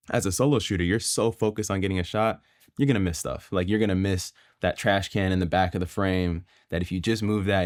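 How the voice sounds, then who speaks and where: A young man from Florida, a guest videographer telling his story in a casual podcast chat, relaxed and filler-heavy. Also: deep voice, no echo, studio quality.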